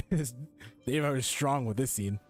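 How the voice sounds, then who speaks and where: A man's voice: medium pitch, measured pace, darkish tone, soft background music. A man streaming a video game and giving casual, live reaction commentary.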